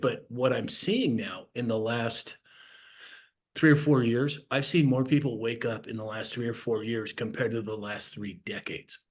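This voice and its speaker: American man hosting an interview, medium pitch. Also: fairly even intonation, measured pace. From United States. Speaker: show host and interviewer. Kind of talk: conversational interview.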